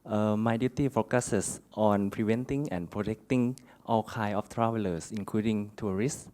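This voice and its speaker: A man from Thailand, a graduate student and doctor, introducing himself quietly with fillers. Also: on the low side, normal speed. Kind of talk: self-introduction.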